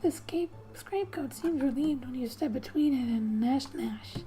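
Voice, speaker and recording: A woman softly narrating a game as ASMR host, breathy and often near a whisper, with gentle, unhurried, playful asides. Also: sits high, faint music behind. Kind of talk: soft-spoken ASMR game narration, reading text aloud with asides.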